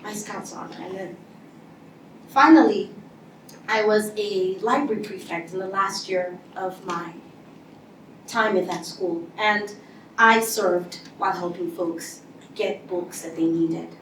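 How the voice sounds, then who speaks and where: A woman giving a motivational speech to an audience, telling her story in a lively way and acting out what people said. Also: medium pitch, slow pace, recorded from a distance, a little hiss or hum. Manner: animated storytelling with acted-out quotes. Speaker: speaker. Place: large hall before an audience. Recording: echoey hall, voice from afar.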